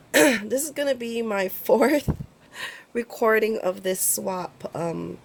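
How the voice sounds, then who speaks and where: A woman's voice: fairly high pitch, slow pace, vocal fry. A woman with a cold, sounding congested, chatting casually as she shows her craft swap items. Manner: chatty, rambling, many ums. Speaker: crafting YouTuber. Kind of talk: casual show-and-tell vlog.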